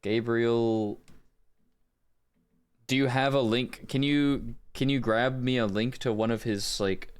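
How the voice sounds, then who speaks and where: A man's voice: medium pitch, fairly even intonation, slow, long pauses, clean tone. A man hosting a voice acting livestream, talking casually to his viewers. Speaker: livestream host doing voice acting.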